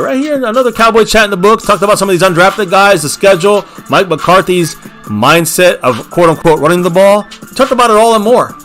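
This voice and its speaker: A man's voice: fairly high pitch, a bit bright. Hyped guy hosting a fan livestream from his little home bar, keeps calling chat baby and repeating the slogans. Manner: hyped, repeats catchphrases, calls viewers baby. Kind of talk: casual livestream sign-off with shout-outs. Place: small home bar setup.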